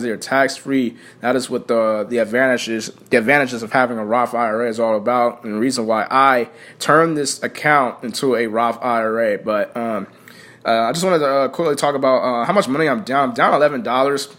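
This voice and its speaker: A young man in the middle of his pitch range talks loosely to his viewers, as if thinking aloud. He rambles, stacks up fillers and keeps restarting his sentences. The tone is plain and conversational. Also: a bit bright. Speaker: personal finance vlogger. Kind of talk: casual unscripted talk to viewers.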